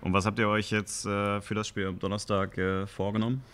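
A man's voice: lowish voice, level tone, brisk, fairly bright tone, clear, resonant tone. A young man moderating a press conference, asking questions informally with many fillers.

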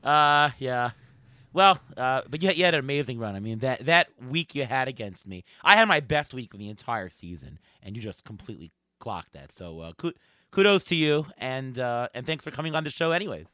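Male podcast host, slightly hoarse, bright forceful voice, mid-range pitch, casual sports talk, dry sound. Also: very animated, brisk, no room sound.

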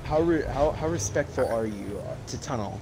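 A man's voice: mid-range voice, lively intonation, normal speed, background noise. A man in casual game chat, panicky and pleading as he plays a survivor. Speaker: gamer playing a survivor.

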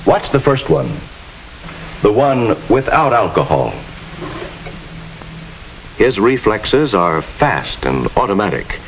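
A man's voice: lowish voice, very animated, slow, pauses often, rough recording. An American man giving formal, instructive narration with precise diction in the style of a 1950s educational film. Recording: hissy 1950s film soundtrack.

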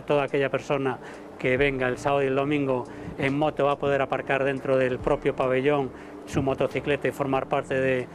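A man in his fifties, a motor show director, speaking to camera in a halting way with many fillers and repeated words, recorded outdoors on a lapel mic. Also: mid-range voice, slight background noise. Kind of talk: interview to camera. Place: outdoor terrace above a city port.

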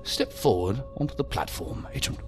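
An actor playing a commanding, ceremonious ambassador in a science fiction audio drama. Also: male voice, mid-range voice, wildly swooping pitch, over music. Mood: formal, commanding. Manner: ceremonious, drawn-out phrasing. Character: formal, theatrical male ambassador, a commanding handler briefing agents.

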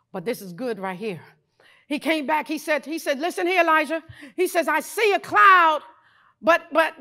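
Woman pastor, middle-aged, high voice, bright tone, fiery sermon with shouts, handheld mic on a church stage. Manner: animated, preaching with bursts of shouting. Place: large church stage, indoors.

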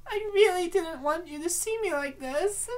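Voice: very high voice, lively intonation, normal speed, voice pushed up. A performer voicing a shy, flustered, panicky young gamer woman from a comic, anxious and pleading. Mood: embarrassed, distressed.

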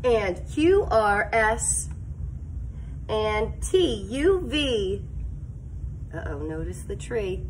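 A middle-aged woman teacher reading a picture book aloud to young children, expressive and sing-song, inviting them to join in. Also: medium pitch, extremely slow, pauses often, slight background noise, low-quality recording. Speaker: elementary teacher. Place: school classroom, indoors.

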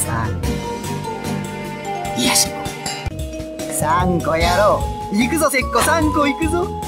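An actor playing a sadistic, deranged surgeon villain in a video game, theatrical, moving between silky menace and manic screaming. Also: male voice, sits high, huge pitch swings, takes it slowly, loud music behind the voice, heavily acted. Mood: amused, sinister, then frenzied, commanding. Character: sadistic, deranged male villain surgeon, gleefully obsessed with despair. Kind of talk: Japanese video game voice acting.

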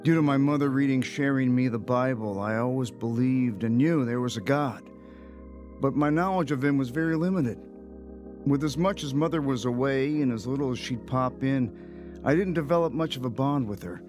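An actor voices a reflective man narrating a radio drama in the first person. His mid-pitched voice is wry and measured, with faint music behind it. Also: lightly acted. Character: reflective man recounting his lonely childhood.

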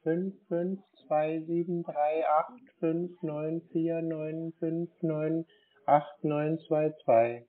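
A man making a prank phone call as a naive victim, giving terse, plodding, deadpan answers. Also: fairly high pitch, drawn-out words. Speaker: caller posing as a naive victim. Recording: tinny phone line.

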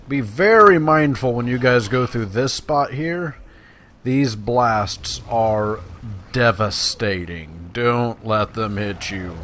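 A man's voice: fairly low pitch, a bit bright, slight background noise. A man giving casual, folksy gameplay commentary, talking to his viewers. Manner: folksy, conversational, addresses viewers. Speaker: let's play host. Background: video game sounds.

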